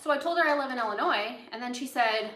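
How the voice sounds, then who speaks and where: A woman's voice: on the high side, fast talker, fairly bright tone. An American woman chatting casually on a cooking livestream, rambling and drifting into asides as she works. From United States, grew up in Los Angeles. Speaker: host of a cooking livestream, chef. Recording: roomy, echoey indoor sound.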